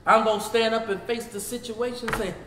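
A man in his fifties with a Southern US accent teaching a Bible study as pastor. He swings from shouting to low talk, recorded in a church sanctuary on a lapel mic. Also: fairly even intonation, brisk, roomy sound, long reverb tail, heavy background noise. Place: church sanctuary, seated before a projection screen.

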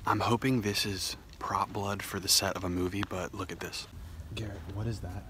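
A young man vlogging while exploring an abandoned mall, talking excitedly and calling everyone dude. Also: lowish voice, normal speed. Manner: excited, swears casually, says dude a lot. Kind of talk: casual exploring vlog chat. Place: abandoned mall, large indoor space. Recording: loud ambient noise.

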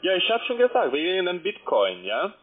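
A man selling by phone in halting, broken non-native German, often ending on a checking tag. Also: sits high, wildly swooping pitch, breathy edge, faint music behind. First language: not German. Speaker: telephone trading salesman. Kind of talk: unscripted sales phone call. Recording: narrow muffled phone line.

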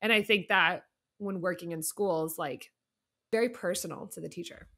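A woman's voice: lowish voice, normal speed, a bit bright. A woman chatting informally as a panelist, peppering her speech with conversational fillers. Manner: informal, peppered with "like" and "you know". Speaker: podcast panelist, teacher. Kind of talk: casual chat.

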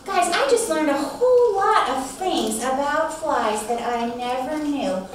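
A woman's voice: high-pitched, expressive, measured pace, recorded from a distance. A middle-aged woman leading storytime for young children, speaking in a theatrical, exclamatory way and coaxing them with questions. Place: small library room with children, indoors. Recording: echoey room from afar, children around.

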